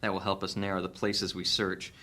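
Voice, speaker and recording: A man playing a shrewd, resolute adventurer in a fantasy audio drama, reasoning deliberately. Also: sits low, level tone, pushed and bright. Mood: focused, urgent.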